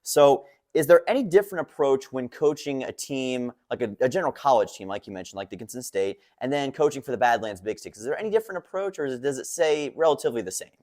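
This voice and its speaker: A man's voice: medium pitch, animated, quick pace, few pauses, a little mellow. A man hosting a sports interview with an upbeat, announcer-like delivery. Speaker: interview host and radio play-by-play man.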